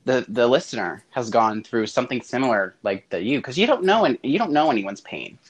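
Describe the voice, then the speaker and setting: Man interviewing with halting restarts. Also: mid-range voice, animated, slightly rough. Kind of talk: casual role-played interview.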